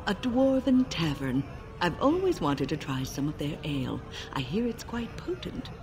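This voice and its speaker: A woman's voice: deep voice, big pitch swings, moderate pace. An actress voicing a refined female mage companion in a video game. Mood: delighted.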